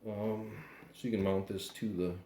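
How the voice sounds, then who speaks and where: Casting: male product reviewer with a low voice, hesitant and unpolished, recorded in an echoey room with faint noise. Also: flat delivery, moderate pace, mellow. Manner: unscripted, hesitant, plain-spoken. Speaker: product reviewer doing an unboxing. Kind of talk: casual hands-on unboxing commentary. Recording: roomy echo, faint background noise.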